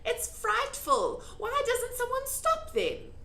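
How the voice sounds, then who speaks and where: A woman voicing a curious, earnest little girl in a children's storybook, questioning and quick to be indignant. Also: very high-pitched, huge pitch swings, vocal fry, bright, forceful tone, pitched above the performer's own voice, full character voice. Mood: horrified. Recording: echoey room.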